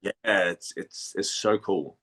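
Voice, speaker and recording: A man from Denmark chatting casually as an interview guest, haltingly searching for words and repeating phrases. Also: deep voice, pushed and bright. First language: Danish.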